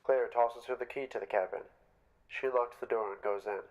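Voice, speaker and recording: A man's voice: medium pitch, steady tone, a little fast, poor audio. A man reading stage directions aloud as scripted narration at a staged play reading.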